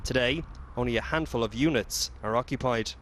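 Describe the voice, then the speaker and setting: A man reading a scripted television news report in a measured way. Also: mid-range voice, slight background noise. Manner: measured, even.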